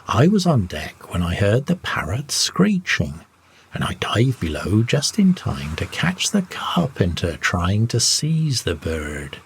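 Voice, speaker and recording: Slow, low-voiced male storyteller. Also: huge pitch swings, breathy edge. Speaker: storyteller, audiobook narrator. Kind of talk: scripted first-person story narration for sleep.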